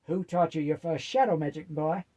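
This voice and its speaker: A firm, loving old grandmother as a man storyteller plays her, husky and mellow. She stresses her words with care, wise and a little teasing. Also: a little high, close to an everyday voice. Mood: mock anger. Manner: emphatic, firm, drawn-out delivery.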